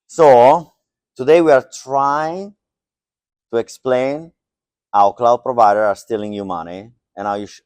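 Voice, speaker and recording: A man's voice: mid-range voice, darkish tone, no room sound. A middle-aged man giving a joking, loose tech talk to a live audience, with lots of fillers. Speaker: conference speaker. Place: lecture hall.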